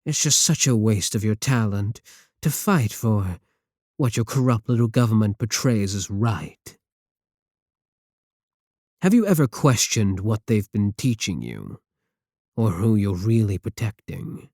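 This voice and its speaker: A man's voice: fairly low pitch, lively intonation, measured pace, long pauses, a little mellow, no room sound, clean studio sound. A man playing a possessive, seductive supervillain in an ASMR audio roleplay, with theatrical, condescending endearments and an idealistic contempt for the world. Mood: dismissive, challenging.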